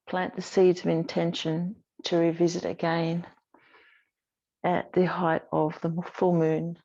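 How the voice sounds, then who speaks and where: Woman yoga teacher, lowish pitch, very flat intonation, soothing guided-practice delivery, short cues with pauses. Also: dull audio. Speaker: yoga instructor. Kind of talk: guided yoga and relaxation instruction.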